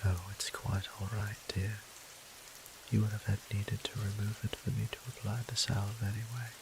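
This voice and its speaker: A man playing a gentle, teasing vampire priest in an intimate audio roleplay, speaking softly and breathily to the listener in courteous, old-fashioned phrasing. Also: on the low side, flat delivery, moderate pace. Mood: warm, reassuring. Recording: clear hiss and rain sound behind.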